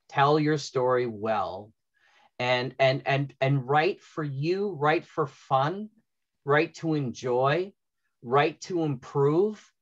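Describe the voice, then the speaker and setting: A middle-aged man moderates a panel with an average-pitched voice. He speaks emphatically, repeating phrases to drive advice home. The sound is slightly dull, like a lo-fi video call. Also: a bit bright. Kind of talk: panel discussion, giving advice. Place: indoor room, on a video call.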